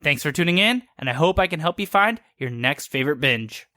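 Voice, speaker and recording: Bright-voiced man reading a podcast promo. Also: a little high, expressive, brisk, dry recording. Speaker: podcast host.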